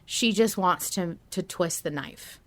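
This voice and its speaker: A woman co-hosting a podcast in casual, chatty, expressive discussion. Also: lowish voice, a little slow. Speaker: podcast co-host.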